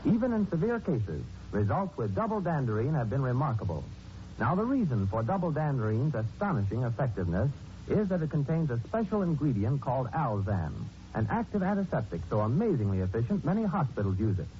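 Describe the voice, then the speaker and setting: An actor as the host and narrator of a 1940s radio mystery, speaking with dramatic, emphatic delivery. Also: male voice, mid-range voice, dark tone, slight background noise, natural voice. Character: ominous, taunting male radio mystery narrator. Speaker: host, narrator and product announcer. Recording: muffled 1940s radio recording with music.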